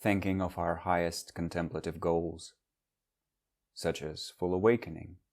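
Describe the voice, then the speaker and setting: A man leading a guided meditation as a teacher, speaking in measured, instructional phrases with long pauses. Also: deep voice, flat delivery, a little mellow.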